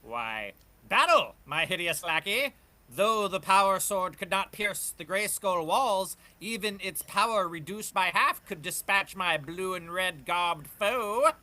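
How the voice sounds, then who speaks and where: A man acts out a skull-faced comic book warlord, theatrical and gloating. The voice sits high for a man and is piercingly bright, with grandiose, archaic delivery. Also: expressive, measured pace, full character voice. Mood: grandiose menace. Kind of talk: dramatic comic book table read.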